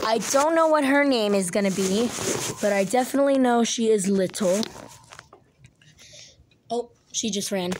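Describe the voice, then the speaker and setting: A woman with a raspy voice, a little sick and clearing her throat, chatting casually behind a phone camera in a room, with lots of ums and likes. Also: fairly high pitch, normal speed, long pauses, a little hiss or hum. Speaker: pet channel host. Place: small room indoors. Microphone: handheld phone, mostly behind the camera.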